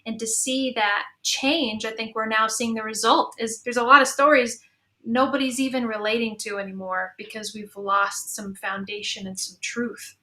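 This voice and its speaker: A woman's voice: a little high, fairly even intonation, moderate pace, pushed and bright. A woman from New Mexico speaking earnestly and conversationally as a panelist. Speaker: panelist, audio drama producer. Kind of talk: casual panel talk.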